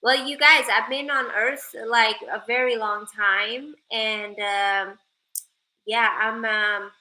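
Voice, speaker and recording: A woman's voice: on the high side, steady tone, slow, pushed and bright, rough recording. A young woman from Sweden chatting casually with viewers on a livestream, joking playfully and reading out their comments. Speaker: live streamer.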